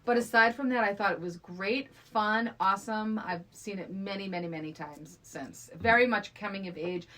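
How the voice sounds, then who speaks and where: A woman's voice: mid-range voice, normal speed, hardly any pauses, fairly bright tone. A middle-aged woman co-hosting a podcast, chatting casually about movies with teasing interjections. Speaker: podcast co-host.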